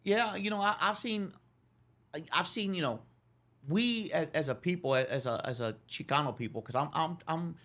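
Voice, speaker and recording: A middle-aged man from Dallas, a podcast guest talking casually, with lots of restarts. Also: fairly high pitch. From Dallas, Texas. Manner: fast, repetitive restarts, animated. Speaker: interview guest. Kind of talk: casual conversation.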